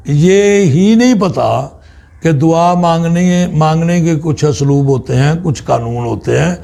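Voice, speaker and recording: A man preaches in a high, mellow voice with some creak in it. He explains religious practice without a script, pausing often and restating his phrases with deliberate care. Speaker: religious teacher, Islamic preacher. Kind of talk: extemporaneous religious teaching talk.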